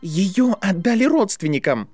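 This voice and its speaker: Man doing a high-pitched, furious young boy wizard. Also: very animated, unhurried, dry recording, faint music behind, heavily acted. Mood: shaken, defensive. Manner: trembling, shouting, accusatory delivery. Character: furious, anguished boy wizard, sharp and accusing.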